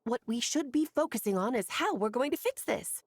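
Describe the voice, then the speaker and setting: A woman voicing a confident adult female mage who speaks in an airy, poised and gently bossy way in video game dialogue. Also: on the high side, lively intonation, fairly quick. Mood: breezy.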